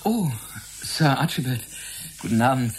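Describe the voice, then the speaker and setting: Polite young lab assistant in a radio drama, earnest, animated pitch, slight noise in back. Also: male voice, unhurried. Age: young adult. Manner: precise, deferential, hesitant when embarrassed. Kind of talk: German radio drama dialogue.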